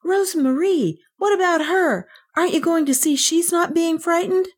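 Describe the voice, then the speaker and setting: A woman's voice: high-pitched, expressive, moderate pace, a little mellow, voice pushed up. A woman voicing a determined little storybook boy, troubled and earnest, pleading urgently. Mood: insistent. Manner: urgent, insistent.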